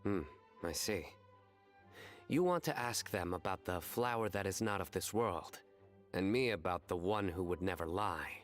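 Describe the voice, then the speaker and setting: A man playing a dry, serious young scholar in a video game, speaking in a measured, formal deadpan. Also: sits low, faint music behind. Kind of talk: scripted video game dialogue.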